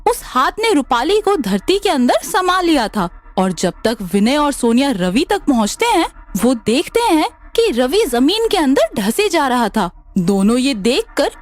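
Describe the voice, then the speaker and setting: A woman narrating scripted animated stories as the storyteller. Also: high voice, a little slow.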